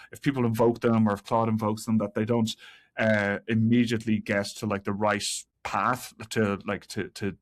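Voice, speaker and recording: Low-voiced man explaining technical work haltingly. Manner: halting, hedging with frequent 'like' and 'kinda', repeated words. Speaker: guest, senior software engineer.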